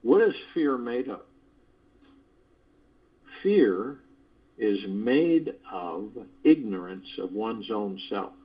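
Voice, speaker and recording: A man hosting a guided meditation on a conference call, speaking in measured, deliberate phrases with pauses, like a spiritual motivational talk. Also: fairly low pitch, big pitch swings, breathy edge. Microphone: phone conference call. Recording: dull lo-fi conference call audio.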